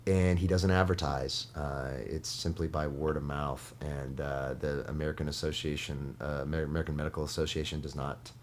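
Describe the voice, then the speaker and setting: A man talking as a guest in a casual studio conversation, earnest and halting, often restarting his sentences. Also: extremely low pitch, level tone, a little mellow, a little hiss or hum.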